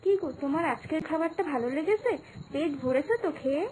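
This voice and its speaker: A woman as a very high-pitched, earnest young wife. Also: animated, fast, noisy background. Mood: caring. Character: confident, caring young Bengali daughter-in-law and wife. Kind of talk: moral-story cartoon voice acting.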